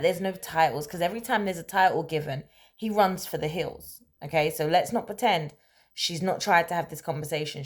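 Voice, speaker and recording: A woman telling a story in a casual, conversational way, animated and emphatic, often checking in with rhetorical questions. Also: low voice. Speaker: storyteller, host of a story-time series.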